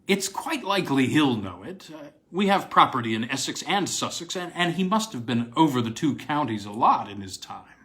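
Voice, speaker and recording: A man reads the part of an anxious, excitable university don from a storybook. He stammers with eagerness, his pitch jumping high and swinging about. The tone is bright and tense. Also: normal speed, few pauses. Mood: eager.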